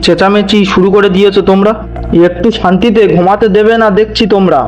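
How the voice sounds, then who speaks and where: A man's voice: sits high, steady tone, quick pace, a little mellow, close to an everyday voice. A man voicing an irritated, protective younger husband in a fairy-tale cartoon. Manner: complaining. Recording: dull lo-fi cartoon dub. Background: background music.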